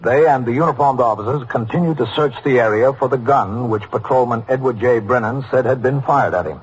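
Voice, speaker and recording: A faintly noisy old radio drama recording of a man acting a skeptical American police captain. He is middle-aged and stern, with a mid-range voice. His delivery is deliberate and matter-of-fact. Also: medium pitch, lightly acted.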